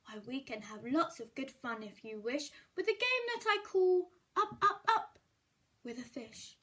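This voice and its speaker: A woman's voice: sits high, lively intonation, measured pace, sharp tone, exaggerated voice. A boastful playful cat in a hat, as a woman reads him.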